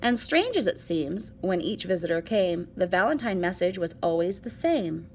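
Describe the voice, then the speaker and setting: A woman reading a children's picture book aloud with an expressive, rhythmic delivery. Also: on the low side, normal speed, slight background noise. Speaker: storybook reader.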